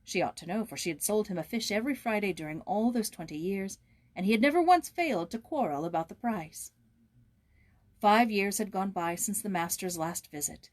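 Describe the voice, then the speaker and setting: A woman's voice: medium pitch, expressive, normal speed. A woman reading a storybook aloud as host, with casual asides.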